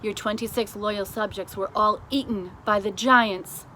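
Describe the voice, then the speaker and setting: A woman voicing a brisk, commanding, unflappable queen in a story, speaking in an emphatic, deliberate, imperious way. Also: mid-range voice, fairly even intonation, pushed and bright, a little hiss or hum, poor audio. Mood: brisk, resolute.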